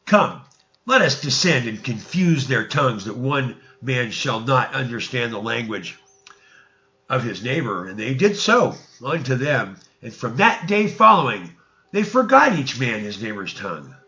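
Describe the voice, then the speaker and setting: A man reading an ancient religious text aloud as a narrator, in a deliberate storytelling manner, stumbling over words now and then. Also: medium pitch, big pitch swings, sharp tone, highs cut off.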